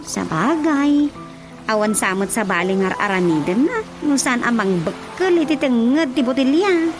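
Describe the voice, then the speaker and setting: A high-voiced, nosy village auntie with an Ilocano accent , acted by a woman, chats and pries in radio drama style. Prominent music plays behind her. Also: lively intonation, a little slow. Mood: inquisitive. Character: nosy, chatty village auntie questioning a young girl.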